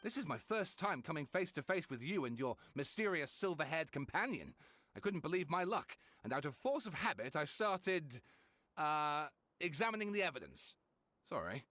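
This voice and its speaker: Awkward, very animated young male scholar. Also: a little high, moderate pace, no echo, clean studio sound, full character voice. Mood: flustered, earnest. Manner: hesitant, earnest, flustered. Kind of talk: video game voice acting.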